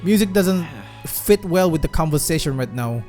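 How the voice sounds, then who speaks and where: A woman's voice: low voice, expressive, normal speed, music in the background. A young adult woman giving casual gameplay commentary, blunt, incredulous and jokey. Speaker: game streamer.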